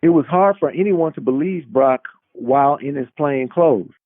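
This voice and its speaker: A man's voice: on the high side, moderate pace, no room sound, narrow, tinny audio. A man who is an author reading his own novel as dramatic narration, on a band-limited recording.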